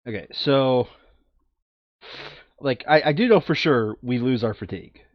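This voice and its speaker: A man's voice: mid-range voice, expressive, unhurried, no echo, dull audio. A man chatting casually while playing a board game, thinking aloud as he works through moves. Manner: thinking aloud, muttering counts. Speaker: tabletop board game player. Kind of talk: casual chat during board game play.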